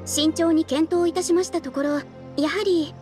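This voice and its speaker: A woman's voice: extremely high pitch, steady tone, normal speed, over music. A woman playing a polite, earnest young guild receptionist in a game dub, speaking formally and courteously. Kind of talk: Japanese game dub, quest briefing dialogue.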